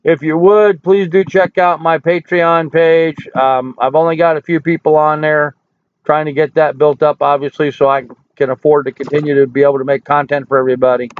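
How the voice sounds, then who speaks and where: An older man hosting a casual live stream, hoarse from hours of talk, folksy and rambling as he answers chat comments. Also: fairly high pitch, little pitch movement, brisk, no echo. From United States. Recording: dry, tinny phone-like audio.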